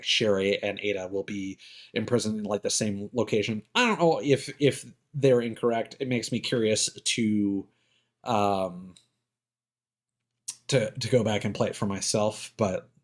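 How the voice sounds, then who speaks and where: A man giving casual, rambling and opinionated commentary as a game livestreamer. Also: on the low side. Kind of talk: casual gaming stream commentary. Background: music.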